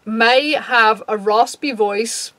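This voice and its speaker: A woman in her thirties with a low, raspy voice, talking frankly to camera in a casual vlog and swearing freely. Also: slow, sharp tone, no room sound. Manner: frank, swears freely, reads out a list and reacts. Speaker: YouTuber. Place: small dim room, indoors.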